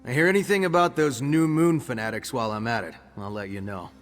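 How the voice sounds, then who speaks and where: A sardonic, bookish adventurer acted by a man grumbles dryly in a fantasy game. His medium-pitched voice carries a long hall reverb. Music sits behind him. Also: fast, lightly acted. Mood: matter-of-fact. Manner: dry, sarcastic. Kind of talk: scripted video game dialogue.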